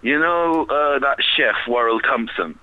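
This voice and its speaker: A man's voice: medium pitch, measured pace, no room sound, narrow, tinny audio. A man calling in to a radio show, spinning swaggering, slangy tall tales. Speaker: caller. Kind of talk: radio phone-in banter.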